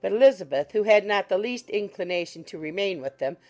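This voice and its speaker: A woman's voice: medium pitch, animated, brisk. A woman reading scripted literary narration as an audiobook narrator. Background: soft music.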